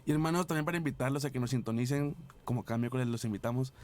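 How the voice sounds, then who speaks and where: A man's voice: mid-range voice, very fast, darkish tone. A man hosting a parish program, welcoming viewers in a friendly way. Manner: friendly, slightly out of breath. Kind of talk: casual show hosting.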